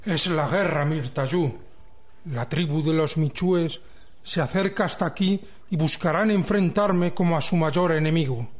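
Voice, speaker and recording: A man playing a devoted, lovestruck gentle giant in an amateur radio drama, speaking solemnly. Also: on the high side, a little slow. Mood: grave, resolute. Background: music.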